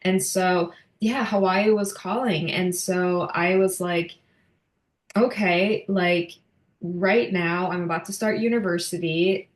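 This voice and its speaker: A woman's voice: on the low side, steady tone, takes it slowly, reverberant. A woman who grew up in Norway, telling her story earnestly as a podcast guest. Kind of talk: podcast interview.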